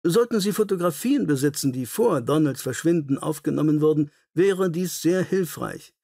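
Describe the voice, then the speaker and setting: A self-assured Victorian detective , read by a male audiobook narrator, courteous and keen. He speaks formally and briskly in a slightly high, husky voice, recorded dry. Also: expressive, mellow, no echo. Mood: decisive.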